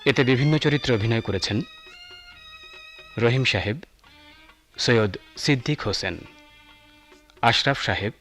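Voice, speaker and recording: A man's voice: medium pitch, moderate pace, pauses often, fairly bright tone. A man announcing radio drama credits in a formal, scripted style. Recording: music behind the voice. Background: music.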